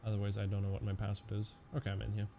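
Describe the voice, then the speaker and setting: A young man from Germany with a mixed Canadian-German accent, talking through a simulator flight and answering chat. His voice sits fairly low, and a steady hiss of noise runs under it from the home setup. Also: rapid-fire, creaky. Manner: chatty, reads chat aloud and answers viewers. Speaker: flight sim streamer. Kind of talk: casual live-stream commentary.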